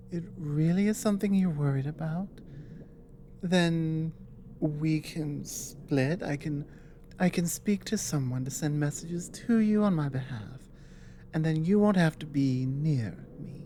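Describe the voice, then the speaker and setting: A woman acts out an earnest dragon woman in an intimate ASMR audio drama. Her voice is low and mellow, and she advises gently and very slowly, pausing often as she chooses her words. A faint noise sits behind her. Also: full character voice. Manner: soft, hesitant, deliberate, with long pauses. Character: earnest dragon woman, careful war advisor and tender lover.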